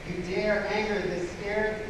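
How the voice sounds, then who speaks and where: A woman's voice: medium pitch, level tone, unhurried, lightly acted. A woman playing a vengeful ancient sorceress, declaiming grandly in a live theatrical table read. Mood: menacing. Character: imperious vengeful ancient sorceress villain, female. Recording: echoey convention hall, far off, noisy.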